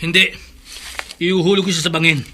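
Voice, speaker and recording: Horror radio: desperate young hero, raised pitch, piercingly tense, urgent. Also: male voice, little pitch movement, normal speed, slight background noise. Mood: desperate, insistent. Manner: urgent, forceful. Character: desperate, determined young man hunting a monster. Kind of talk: horror radio drama acting.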